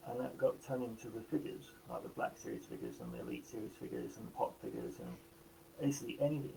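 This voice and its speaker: A man with a croaky voice, a guest actor talking in a casual live chat, halting with many restarts. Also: medium pitch, dark tone, a little hiss or hum, rough recording.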